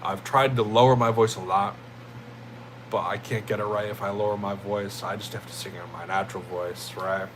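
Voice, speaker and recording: A young man with a deep, jazzy voice, chatting casually with viewers on a livestream in a loose, rambling way. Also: steady tone, a little fast, background noise. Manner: laid-back, rambling, thanks chatters as "my friend" and "bro".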